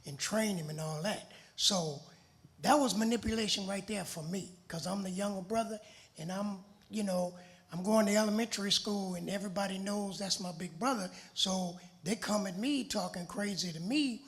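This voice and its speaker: Raspy-voiced man from Los Angeles. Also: high-pitched, moderate pace, a bit bright. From Los Angeles, USA. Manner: plain-spoken, streetwise storytelling. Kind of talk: personal testimony.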